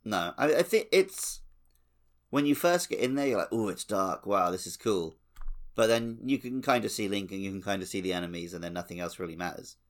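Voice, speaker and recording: A man's voice: on the low side, expressive, brisk. A man co-hosting a video game podcast in a casual, wry and joking manner. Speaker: podcast co-host.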